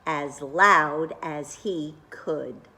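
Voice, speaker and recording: A children's librarian, a woman, reading a picture book aloud to young listeners, playfully pausing before each animal sound and asking them questions. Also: low voice, bright, forceful tone, breathy edge.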